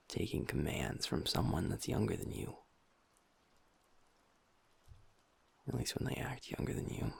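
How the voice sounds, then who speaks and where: A man voicing a troubled young werewolf who is his pack's second in command, speaking softly and hushed, swearing and criticizing himself in an intimate audio roleplay. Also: lowish voice, vocal fry, slightly rough, heavily acted. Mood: reflective. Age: young adult.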